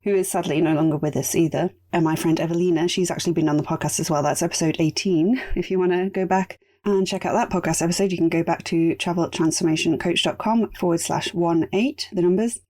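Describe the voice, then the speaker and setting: A woman hosting a casual solo podcast, chatty and rambling, her voice going raspy. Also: lowish voice, steady tone, fast, few pauses, dark tone. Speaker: podcast host.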